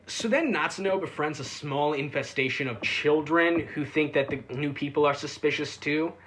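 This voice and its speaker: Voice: mid-range voice, a bit bright, slight background noise. A reviewer giving a casual, sarcastic recap, full of offhand asides and swearing. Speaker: anime reviewer.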